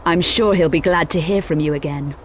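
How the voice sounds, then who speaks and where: A woman's voice: deep voice, brisk, a little hiss or hum, close to an everyday voice, low-quality recording. An actress playing a cool, daring female adventurer in a video game cutscene, speaking in a dry, clipped, wry manner. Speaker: lead character.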